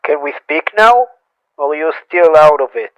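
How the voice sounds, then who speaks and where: A man's voice: a little high, expressive, normal speed, no room sound, natural voice, poor audio. A man voicing a young woman, an emotional, fed-up wife and mother who pleads and scolds. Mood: wary.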